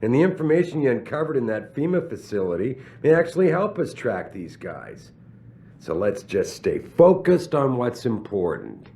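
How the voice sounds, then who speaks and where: An actor playing a proud, evasive middle-aged company boss in a video game, speaking emphatically with drawn-out stresses. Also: male voice, mid-range voice, wildly swooping pitch, gravelly, mellow. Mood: dismissive. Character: commanding, evasive, proud corporate boss man. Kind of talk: video game cutscene acting.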